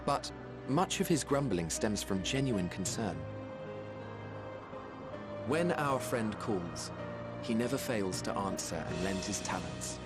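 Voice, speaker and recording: Genial low-voiced mage, eloquent and expressive. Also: male voice, over loud music. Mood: fond, proud. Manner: eloquent, formal, measured storytelling. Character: genial, wry, modest male mage, admiring of friends. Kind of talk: video game cutscene acting.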